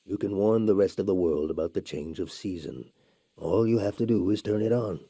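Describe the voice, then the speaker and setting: A grave, deep-voiced monk. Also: male voice, fairly quick, mellow, breathy edge, no echo, clean studio sound. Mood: urgent. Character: solemn male monk, calm and earnest. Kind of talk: game voice acting.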